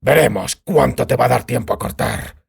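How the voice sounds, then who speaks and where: A man voicing a cold, controlled witcher in a fantasy audiobook, speaking in a measured, deliberate way. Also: mid-range voice, creaky, husky, heavily acted. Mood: cold threat. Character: cold, controlled male witcher bargaining for time. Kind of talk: dramatized fantasy audiobook dialogue.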